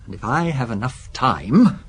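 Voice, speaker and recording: An actor playing a nervous, obsessive husband in a radio drama, speaking hesitantly and apologetically yet with stubborn insistence. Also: male voice, mid-range voice, big pitch swings, unhurried, husky, heavily acted. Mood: insistent, tense. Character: nervous, obsessive shop-employee husband fearing poisoning.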